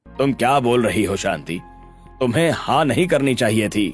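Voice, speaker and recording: A gruff older Indian father in an animated family drama, put on by a man. He sounds stern and resigned, speaking low-key lines over background music. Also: moderate pace. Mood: reproachful. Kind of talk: animated story dialogue.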